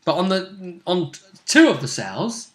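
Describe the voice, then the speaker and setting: A man's voice: sits high, big pitch swings, a little slow, a bit bright. A man speaking as game master, casually narrating a tabletop roleplaying game and checking in with his player in a conversational way. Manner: conversational, addresses the player with tag questions.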